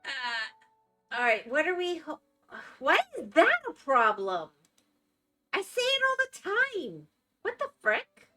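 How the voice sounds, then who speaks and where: A woman's voice: high voice, very animated, slow pace, long pauses, pushed and bright, soft background music. A woman with a Florida accent, chatting casually with viewers as a live streamer and reacting to their comments. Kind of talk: casual chat while gaming.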